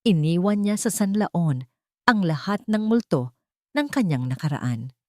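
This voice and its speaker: A woman's voice: fairly low pitch, lively intonation, measured pace, mellow, dry recording, studio quality. A woman reading a dramatic scripted story as narrator.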